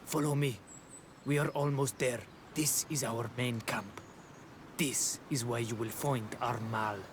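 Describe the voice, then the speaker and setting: An actor playing a wary, solemn rebel scout in a fantasy video game. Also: male voice, medium pitch, fairly even intonation, a little slow, slight background noise, lightly acted. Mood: cautious trust. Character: wary male rebel scout of a native clan.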